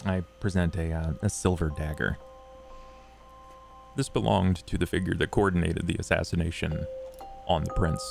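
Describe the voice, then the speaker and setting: A man's voice: low voice, fairly even intonation, moderate pace, vocal fry, long pauses, soft background music. A man playing a solemn, courteous vampire leader in a tabletop game, with grave, formal wording.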